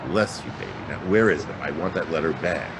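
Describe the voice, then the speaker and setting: A man's voice: extremely low pitch, fairly quick. A man playing a wry hard-boiled private detective in a radio drama, with sardonic, clipped, slangy delivery. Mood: wary, wry. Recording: noisy track with outdoor city ambience.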